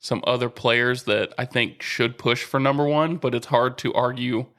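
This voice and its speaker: A man's voice: medium pitch, steady tone, moderate pace, fairly bright tone. A man co-hosting a casual podcast, chatting about golf and reading a listener email, often laughing as he talks. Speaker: podcast co-host. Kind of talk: casual chat.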